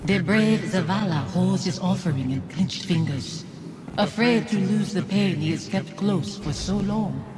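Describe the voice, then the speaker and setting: An actress playing the accusing phantom of a commander's dead wife in a video game, speaking deliberately and formally. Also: female voice, mid-range voice, close to an everyday voice. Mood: bitter, demanding. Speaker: voice actor in game. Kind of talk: dramatic video game acting. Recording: music over dialogue. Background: game soundtrack music.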